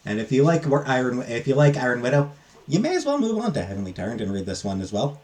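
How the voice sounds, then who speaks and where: A man talking casually and unscripted as a book reviewer, in a halting, hesitant way with trailing asides. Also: medium pitch, big pitch swings, darkish tone.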